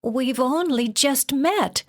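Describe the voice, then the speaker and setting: A woman's voice: high voice, animated, takes it slowly, pitched above the performer's own voice, exaggerated voice. A woman voicing a frightened, freezing young girl in a storybook, pleading shakily through chattering teeth. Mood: scared.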